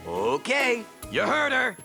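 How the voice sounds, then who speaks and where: A man playing a sarcastic, excitable hero in a comedic audio drama, slangy and exclamatory. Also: very high-pitched, slow, creaky, loud music behind the voice, exaggerated voice. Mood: nervous.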